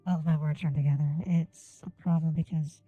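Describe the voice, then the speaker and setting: Japanese-native woman streamer, deep and dark, slow deliberate delivery, muffled lo-fi mic sound. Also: steady tone, faint music behind. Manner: deliberate, careful enunciation. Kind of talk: casual gameplay stream chat. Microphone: desk microphone on an arm.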